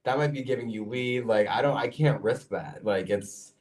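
A man's voice: medium pitch, moderate pace, darkish tone. A young man from Ukraine with an American accent, telling stories loosely with lots of "like" on a casual podcast. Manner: storytelling with lots of "like" and quoted dialogue. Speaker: podcast co-host. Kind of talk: casual chat.

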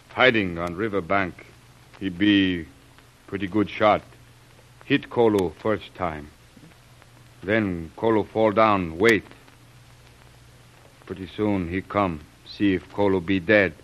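An actor playing a wounded, loyal native tribesman who speaks slow, halting broken English in an old radio serial. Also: deep voice, fairly even intonation, fairly bright tone, muffled recording. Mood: strained, proud. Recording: noisy old radio recording.

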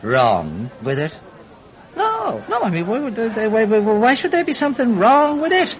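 A man's voice: fairly low pitch, measured pace, some chatter behind. An actor playing a chatty, scheming Irish villager who tells his tale in a folksy, blustering way in a radio drama. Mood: flustered, evasive. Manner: animated, folksy, stammering when flustered. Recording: dull, noisy radio-drama recording.

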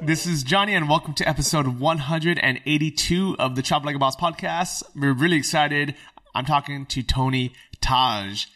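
A man's voice: on the high side, normal speed, pushed and bright, distant mic, faint music behind. A man hosting a travel podcast, loose and upbeat with lots of fillers. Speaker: podcast host. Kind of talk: casual interview.

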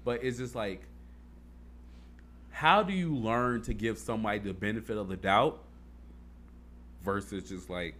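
A man's voice: on the low side, a little slow, long pauses, fairly bright tone. Guy rambling earnestly, lots of likes, sweary, casual. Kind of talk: casual chat.

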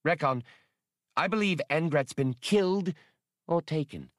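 Man putting on an agitated, higher-voiced alien mother. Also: lively intonation, measured pace, dry recording, studio quality. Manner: urgent, demanding. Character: agitated, suspicious alien mother, a proud feline rebel.

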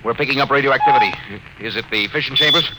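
An actor playing an urgent, commanding starship captain in classic radio drama style, brisk and barking orders. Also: male voice, mid-range voice, huge pitch swings. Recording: noisy old radio broadcast recording.

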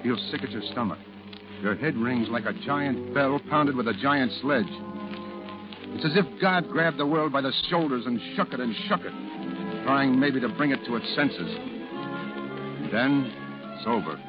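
A man acting a steady, grave American wartime narrator in a 1940s radio play. He delivers scripted lines with dramatic emphasis at a medium pitch. The old recording is dull, with music behind him. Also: measured pace, long pauses, lightly acted. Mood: grave, intense. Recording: muffled old radio broadcast, music underneath.

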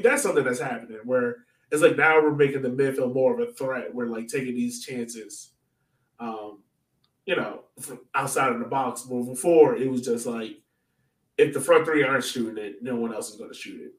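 A man's voice: medium pitch, moderate pace. A man co-hosting a sports podcast in casual, informal soccer chat, often tossing in asides. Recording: roomy echo, distant from mic.

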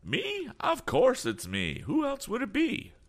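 An excitable, eccentric older gentleman from a storybook. The voice is pushed up high and swoops all over. He flutters and exclaims, fussing nervously as he chatters. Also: measured pace, exaggerated voice. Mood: flustered, surprised.

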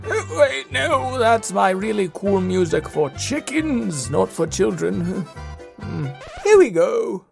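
A man's voice: high-pitched, sing-song, slow pace, gravelly, soft background music, full character voice. A man playing an eccentric, jolly wizard DJ in a children's audio story, theatrical and swooping. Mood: flustered.

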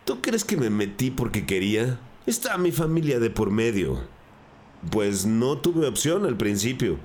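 An actor playing a cornered gang drug dealer in a crime audio drama, defensive, earnest and pleading. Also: male voice, medium pitch, big pitch swings, a little slow, a little mellow.

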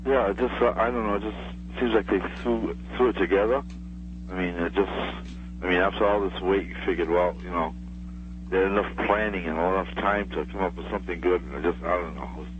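A man's voice: low voice, fairly even intonation, fairly quick. A middle-aged man calling in to a radio show, chatting casually in a hesitant, rambling way. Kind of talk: casual chat. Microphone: telephone. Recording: dull phone call with faint hum.

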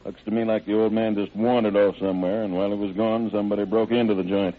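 A man's voice: fairly low pitch, little pitch movement, fast, natural voice. A man playing a casual, unconcerned sheriff's deputy in a 1930s radio drama. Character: casual, unconcerned male deputy reporting to his boss. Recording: tinny, narrow old radio recording.

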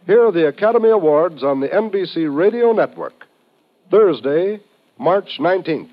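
Casting: American male network announcer, fairly high voice, polished and emphatic, vintage 1950s radio delivery, dull band-limited sound. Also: animated, moderate pace. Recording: dull old radio recording.

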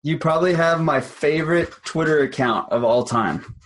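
A man's voice: a little high, normal speed, fairly bright tone, a little hiss or hum. A young man hosting a podcast, talking enthusiastically and casually, often saying "like" and repeating himself. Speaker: podcast host.

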